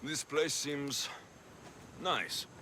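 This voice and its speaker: A man playing a gruff, blunt young Greek mercenary in a video game, terse and impatient with dry delivery. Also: on the high side, expressive, extremely slow, background noise. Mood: resolute. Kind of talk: video game cutscene acting. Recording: music under the voice.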